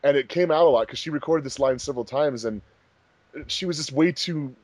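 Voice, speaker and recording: Fast-talking man in casual commentary. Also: on the high side, darkish tone, dry recording. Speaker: fan dub director, commentary co-host.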